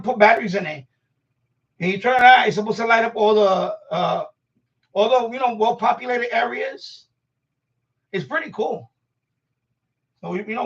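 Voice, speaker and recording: High-pitched gravelly man, rambling casually. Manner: informal, slangy, swearing, talking to himself while handling objects. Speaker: live stream host. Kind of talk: casual rambling live stream chat. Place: room at home being set up as a radio shack. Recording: dull lo-fi sound, distant from mic.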